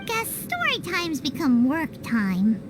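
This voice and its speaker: Super high squeaky little fairy sidekick from an anime game, cheeky n excitable, loud background noise. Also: female voice, slow pace, exaggerated voice. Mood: wry. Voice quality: squeaky, shrill childlike voice. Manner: exclaiming, speaks of herself in third person. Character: small cheeky childlike female fairy companion, excitable and boastful. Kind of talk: anime-style video game voice acting.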